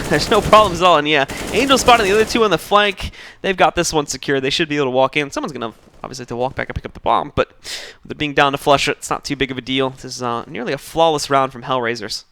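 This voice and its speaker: A man giving rapid, analytical play-by-play on a competitive shooter match. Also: fairly high pitch, sharp tone, slight background noise. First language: English. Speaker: esports play-by-play commentator. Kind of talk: esports commentary.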